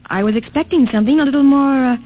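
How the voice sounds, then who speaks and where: An actress playing a reserved, clinical geneticist professor in a mid-century radio drama, speaking in a formal, clipped way. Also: female voice, sits high, fast, close to an everyday voice. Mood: cool, guarded. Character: reserved female geneticist professor in a regimented future. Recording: tinny, noisy old radio recording.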